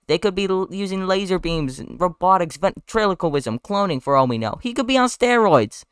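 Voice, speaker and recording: A man performs a pompous Southern lawyer in a comic script reading. The drawl is thick and exaggerated, the delivery loud and grandly theatrical. The sound is clean and dry. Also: high-pitched, animated, clear, resonant tone, no echo, voice pushed up. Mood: indignant. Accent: exaggerated American Southern. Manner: slow, heavy drawl with grandiose oratory.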